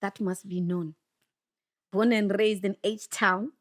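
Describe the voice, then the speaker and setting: A woman in her thirties from Zimbabwe, a guest telling stories with lively energy in a podcast studio. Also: medium pitch, slow pace. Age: 30s. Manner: lively storytelling, acting out voices. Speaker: guest broadcaster. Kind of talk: casual conversation. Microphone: studio mic.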